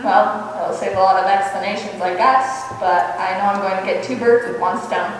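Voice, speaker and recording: A woman's voice: medium pitch, fairly even intonation, normal speed, lightly acted. A woman playing a wry, wisecracking, lovelorn schoolteacher in an amateur radio comedy. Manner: dry, wisecracking. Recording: echoey hall from far off, faint hum.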